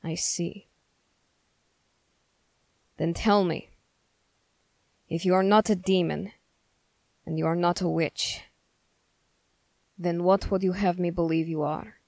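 Girl doing a stern suspicious young soldier guy for asmr roleplay, lowish voice, really slow and formal, super dry close sound. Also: fairly even intonation, gravelly, a little mellow, no echo, exaggerated voice. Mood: wary, questioning. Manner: measured, formal, interrogating. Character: stern, suspicious young male Fjerdan soldier, witch hunter. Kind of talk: ASMR character roleplay, slow scripted monologue.

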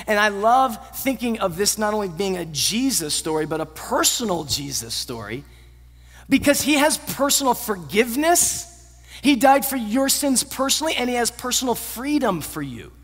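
Earnest high-voiced pastor in a reverberant church hall. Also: male voice, a bit bright. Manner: earnest, building to emphatic peaks then dropping low. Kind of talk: sermon. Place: large church auditorium stage.

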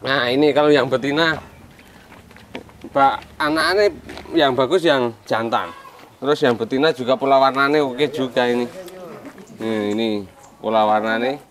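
A man's voice: medium pitch, measured pace, pauses often, slight background noise. A young man speaks with a Javanese accent in an open village yard, his voice picked up close by a clip-on mic. He keeps it loose and friendly, tossing in jokes as he points things out. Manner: relaxed, chatty, mixing in local words, jokey asides. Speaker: goat farm vlogger. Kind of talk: casual on-camera farm tour. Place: outdoor village yard with goats. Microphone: small lapel mic.

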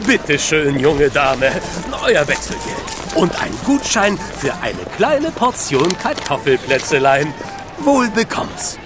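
A jolly gatekeeper at a medieval fair in a children's audio drama. His speech is flowery and mock-archaic, with a highish voice that swings widely in pitch. Music plays behind him. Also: very animated, slow pace. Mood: courtly, obliging. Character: jolly fair gatekeeper in bell hat, playing medieval herald.

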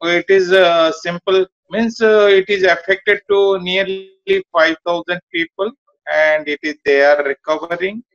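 A man from India, a job candidate, talking in a halting, repetitive way on a video call from a small indoor room. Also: sits high, fairly even intonation, fairly bright tone. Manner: halting, repeats words. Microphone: phone or laptop camera, video call. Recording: muffled video call audio.

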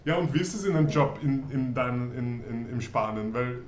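A man's voice: medium pitch, animated, moderate pace, a little mellow, roomy sound, a little hiss or hum. Laid-back slangy male host. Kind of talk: casual interview chat. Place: home room, indoors.

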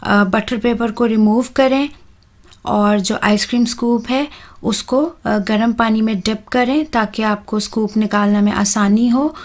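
A woman, hosting a home cooking channel and talking calmly through a recipe step by step, with soft "uh" fillers. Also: on the high side, unhurried. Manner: calm, instructive, with soft "uh" fillers.

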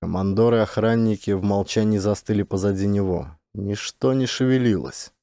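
A man narrating a scripted science fiction audiobook dramatically, with suspenseful, deliberate delivery. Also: on the low side, mellow, no room sound, studio quality.

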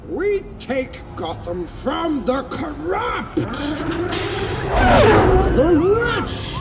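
A man playing a fierce revolutionary villain in a film, declaiming forcefully to the masses. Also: extremely high pitch, exaggerated voice. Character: fierce revolutionary demagogue villain addressing crowds. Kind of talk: shouted film oratory. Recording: distant film speech, loud music.

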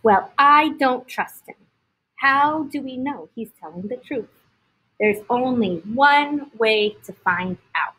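A woman's voice: high-pitched, lively intonation, slow, fairly bright tone, husky, exaggerated voice, low-quality recording. A woman voicing nosy, doubting animals in a children's storybook, blunt and questioning. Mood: suspicious.

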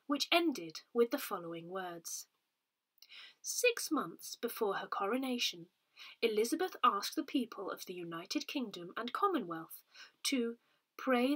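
A woman reading a newsletter aloud in a measured, expressive manner, as a volunteer narrator. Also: medium pitch, husky. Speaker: volunteer reader of a parish newsletter.

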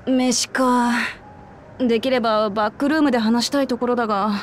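An actress playing a bold young lion-woman warrior in a video game, with blunt, tomboyish, rough casual speech. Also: on the high side, steady tone, brisk, a little hiss or hum, lightly acted. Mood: eager. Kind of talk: video game cutscene acting.

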